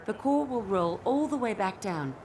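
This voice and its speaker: An actress playing a dry-witted, matter-of-fact military adviser in a video game, wry and crisp. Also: female voice, mid-range voice, expressive, moderate pace, a crowd in the background, natural voice. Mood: briskly advising.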